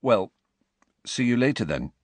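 A man's voice: mid-range voice, sing-song, measured pace, dry recording, pitched above the performer's own voice. A man doing a subdued teenage boy.

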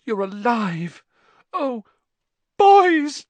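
A man's voice: very high voice, sing-song, extremely slow, darkish tone, no echo, muffled recording, voice pushed up, exaggerated voice. A man voicing an anxious, doting middle-aged mother witch in an audiobook, fretful and breathlessly emotional. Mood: frantic relief.

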